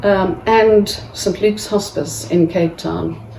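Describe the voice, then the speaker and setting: Slow, halting woman in an echoey room. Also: mid-range voice, fairly even intonation, vocal fry, warm tone, slight background noise. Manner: halting, with many restarts and pauses. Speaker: family member giving a tribute. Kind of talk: tribute speech. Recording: echoey room, distant mic.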